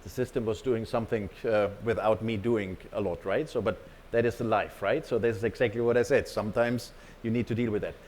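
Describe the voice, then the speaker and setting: A man's voice: fairly low pitch, fairly even intonation, a little fast, a little mellow, slight background noise. Emphatic male corporate presenter. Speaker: company executive presenting to staff. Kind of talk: corporate presentation, partly off the cuff.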